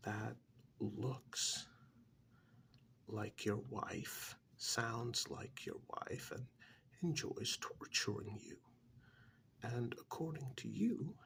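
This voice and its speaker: A man playing a calm, frank therapist in a soft-spoken ASMR role-play, talking in a measured, halting, half-whispered way close to the microphone. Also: mid-range voice, vocal fry, slight background noise, full character voice. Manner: measured, halting, matter-of-fact, professional. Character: calm, frank male therapist counselling a troubled married man.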